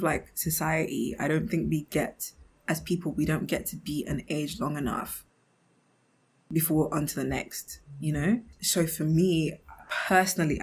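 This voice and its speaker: Husky young woman, casual and chatty. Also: low voice. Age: 20s. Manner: chatty and expressive, acting out imagined remarks; giggly, often laughing mid-thought. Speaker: podcast host. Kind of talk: casual conversational monologue.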